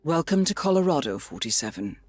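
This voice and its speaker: An actress playing a composed, shrewd intelligence handler in a video game, speaking in a measured, formal, articulate way. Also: female voice, sits low, sing-song, a little mellow. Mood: composed, businesslike. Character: composed, shrewd woman handler at an assassination agency. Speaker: mission handler briefing an assassin. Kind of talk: scripted video game voice acting. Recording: music under the voice.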